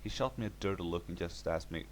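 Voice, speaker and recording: A man's voice: low voice, quick pace, slight background noise. A man reading a scripted first-person horror story as its narrator.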